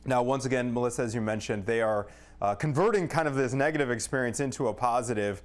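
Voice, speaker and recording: A man with an average-pitched voice reports as a news correspondent. He speaks in the manner of a live broadcast update, addressing the anchor. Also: quick pace.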